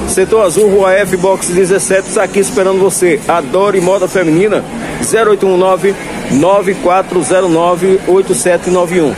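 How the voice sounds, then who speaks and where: A man filming a sales vlog through a busy clothing market, pitching goods in upbeat patter and repeating prices. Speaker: clothing wholesale vlogger. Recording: noisy crowded market. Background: crowd chatter.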